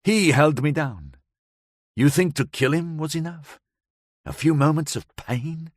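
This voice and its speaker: A man's voice: on the high side, measured pace, dry recording, clean studio sound, voice pushed up. A man reading an audiobook who voices a hardened, vengeful woman telling her past in slightly broken English. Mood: bitter. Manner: foreign-tinged broken English, bitter and intense.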